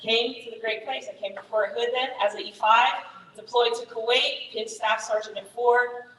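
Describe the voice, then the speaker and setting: A woman in her thirties, raised in Germany with German as her first language, telling her Army career story to fellow soldiers in a candid, energetic way. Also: a little high, moderate pace, echoey room, in a large hall, far from the mic, a little hiss or hum, rough recording. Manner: candid, energetic, many fillers. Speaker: Army first sergeant, guest speaker. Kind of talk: personal story talk to soldiers.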